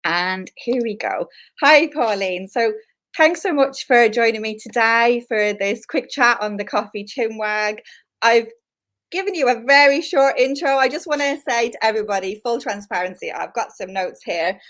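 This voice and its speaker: A woman's voice: a little high, moderate pace, a bit bright. An enthusiastic Irish woman hosting a live online interview over a video call, chatty and upbeat. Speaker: interview host.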